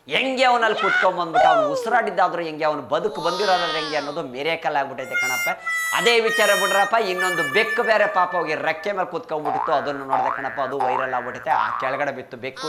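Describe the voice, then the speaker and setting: A man's voice: a little high, big pitch swings, fast. A man with a rustic Karnataka Kannada accent delivers a comic news monologue, folksy and chatty, tossing rhetorical questions at viewers. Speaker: host of a satirical political news segment.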